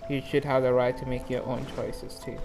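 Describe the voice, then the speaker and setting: Casting: male gaming reaction host, informal, excitable rambler, mid-pitched voice, clear music underneath. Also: a little fast. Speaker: video game reaction host. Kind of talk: casual reaction commentary. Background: game music.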